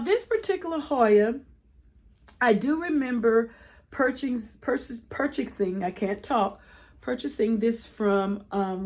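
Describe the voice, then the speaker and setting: A woman's voice: mid-range voice, darkish tone. A woman giving a casual plant collection tour, congested from a cold, chatty with frequent ums and restarts. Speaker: plant vlogger.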